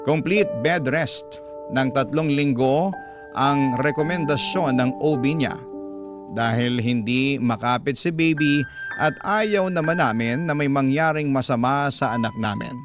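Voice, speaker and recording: Filipino man narrating over music. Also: mid-range voice. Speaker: radio drama narrator. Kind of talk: emotional first-person radio storytelling. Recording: music bed behind narration.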